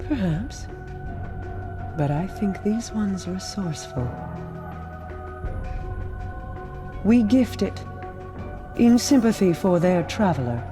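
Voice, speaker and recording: A woman's voice: medium pitch, lively intonation, a little slow, close to an everyday voice. An actress playing a regal, imperious queen who speaks formally and aloofly in a video game cutscene. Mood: cool, considering. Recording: music behind.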